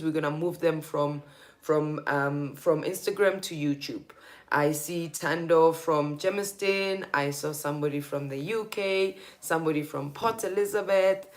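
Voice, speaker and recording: A woman with a South African accent and a low-pitched voice. She speaks as a spiritual teacher on an informal livestream. Her manner is earnest and deliberate, leaning on repetition to stress her points.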